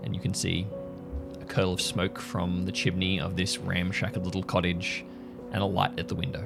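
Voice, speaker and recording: A man narrating an improvised scene as game master, halting as he builds the atmosphere in descriptive detail. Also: low voice, completely flat delivery, creaky. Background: music under the voice.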